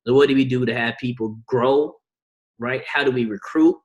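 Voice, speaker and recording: A man leads a sales team's video call in an average-pitched voice. He keeps it loose and slangy. He pushes his point with a string of rhetorical questions. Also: steady tone, normal speed, lo-fi sound. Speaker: team call host. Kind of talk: motivational team call.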